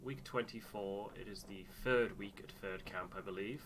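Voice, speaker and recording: A man's voice: on the low side, moderate pace, noisy background. A man hosting a gaming playthrough in casual chat. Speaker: playthrough host and co-reader.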